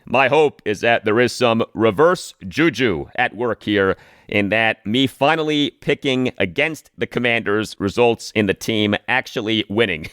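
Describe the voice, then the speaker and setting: A man hosting a sports podcast, giving scripted commentary and predictions with emphatic, deliberate phrasing. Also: lowish voice, a bit bright, smooth, no room sound, clean studio sound.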